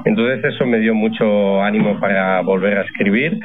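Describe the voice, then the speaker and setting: Man, sixties, Catalan native, low voice, measured, thin phone-like radio sound. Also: steady tone. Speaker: novelist guest. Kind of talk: radio interview. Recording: phone-like radio broadcast with crowd behind. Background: crowd chatter.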